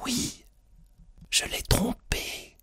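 A man voicing a teasing, nervous wife who answers in a stifled, halting way in a storybook reading. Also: fairly high pitch, huge pitch swings, a little mellow, breathy edge, pitched above the performer's own voice, full character voice. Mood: stifled giggling. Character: giggly, teasing wife turning nervous and irritated.

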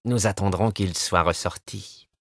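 A man reading a storybook and voicing a sly, sensible male wolf who speaks calmly and cautiously. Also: deep voice, unhurried, creaky, voice pushed down, exaggerated voice. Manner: calm and cautious. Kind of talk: storybook voice acting.

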